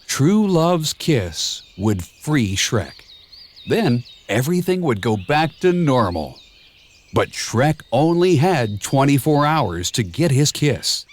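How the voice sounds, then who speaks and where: A man reading a children's storybook aloud in a measured, expressive storytelling manner, with clear and simple phrasing. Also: medium pitch. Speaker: storybook narrator. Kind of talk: scripted children's read-along storybook narration.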